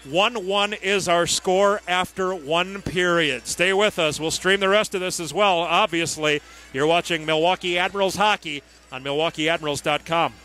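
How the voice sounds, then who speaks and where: A man calling live hockey play-by-play as a radio announcer, in clipped running phrases with crisply enunciated names. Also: sits high, moderate pace, pushed and bright, low-quality recording. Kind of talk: live sports play-by-play commentary.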